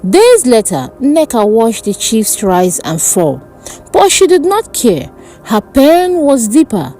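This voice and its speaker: A woman narrating a dark folk tale as a storyteller, in a deliberate, theatrical manner. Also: on the high side, wildly swooping pitch, soft background music.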